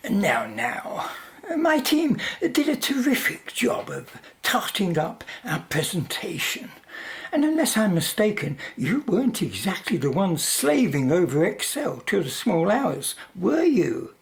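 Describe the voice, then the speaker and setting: A woman's voice: medium pitch, sing-song, a little slow, vocal fry. A woman playing a callous, smug, greedy mining magnate in a radio drama, with a British accent and a haughty drawl. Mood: condescending.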